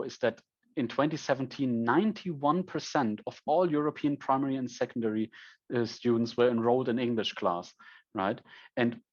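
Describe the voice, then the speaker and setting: A young man in his twenties from Germany, speaking English with a German accent, giving a conference presentation and filling pauses with frequent ums. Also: medium pitch, highs cut off. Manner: frequent ums, self-corrections.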